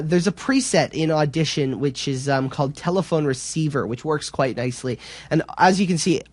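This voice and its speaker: A man presenting a software tutorial in a casual, informal way, explaining step by step a little hesitantly. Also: on the high side, no echo.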